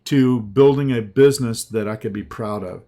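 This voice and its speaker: A man with a Southern US accent, speaking as a sales coach in a relaxed, folksy webinar pitch. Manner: slow, folksy, conversational with restarts.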